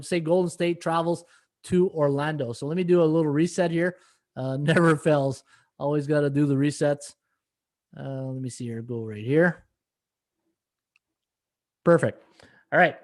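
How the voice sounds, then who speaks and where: Man, middle-aged, slightly hoarse, somewhat high voice, casual rambling sports betting talk. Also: darkish tone. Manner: rambling, many fillers, reads stats and chat. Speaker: podcast host and sports handicapper. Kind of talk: casual live-stream betting analysis.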